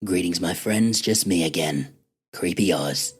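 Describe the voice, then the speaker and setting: A man's voice: low-pitched, unhurried, soft background music. A man speaking as host of a spooky story show, addressing his listeners directly. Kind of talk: host's scripted sign-off to listeners.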